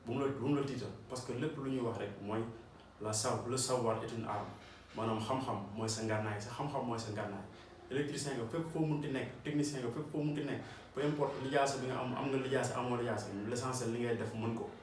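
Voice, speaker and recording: A Senegalese-accented man speaking earnestly as an electrician encouraging his followers. He sits back from the microphone in a very echoey room, and faint ambient noise hums underneath. His pitch sits in an ordinary middle range. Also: steady tone, brisk. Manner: earnest, repeats points for emphasis. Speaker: electrician and channel host. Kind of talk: motivational talk to camera. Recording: roomy echo, distant mic, faint ambience.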